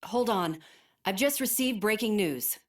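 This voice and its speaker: A composed female news anchor, voiced by an actress, reads breaking news crisply and formally. Her voice is mid-pitched and steady, in the style of a broadcast bulletin. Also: measured pace, close to an everyday voice. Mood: urgent.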